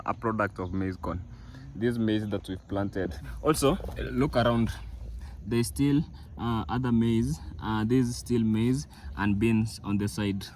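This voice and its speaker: A man's voice: on the low side, slight background noise. A young man vlogging from his family farm outdoors, talking casually to viewers with many fillers and restarts. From East Africa. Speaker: village vlogger. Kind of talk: casual walk-through vlog.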